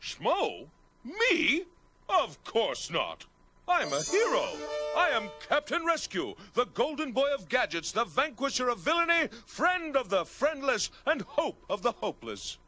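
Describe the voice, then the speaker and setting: A man's voice: very high voice, huge pitch swings, unhurried, pushed and bright, faint music behind, heavily acted. A man playing a boastful old raccoon superhero in a cartoon, with a pompous, grandly theatrical delivery. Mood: indignant, then heroic, boastful. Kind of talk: mock-heroic cartoon acting.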